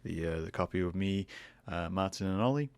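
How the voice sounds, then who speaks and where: A Northern English man talks into a close desk mic in a casual solo podcast. His voice sits very low with a gravelly, creaky edge. He is croaky and hoarse today and wanders through his thoughts with self-deprecating restarts. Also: fairly quick, a little mellow, no echo. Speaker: podcast host and artist. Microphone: desk microphone close to face.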